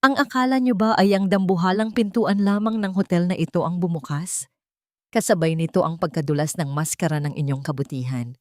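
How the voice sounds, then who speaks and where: A Filipino-accented woman voicing a cold, commanding wronged wife in an audiobook drama, deliberate and accusing. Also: on the low side, dry recording, studio quality, natural voice.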